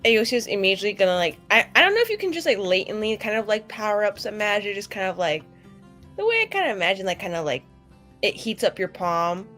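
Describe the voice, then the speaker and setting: A woman playing a tabletop roleplaying game, chatting casually in an animated, rambling and frank way. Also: mid-range voice, quick pace, soft background music. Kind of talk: casual improvised roleplay chat.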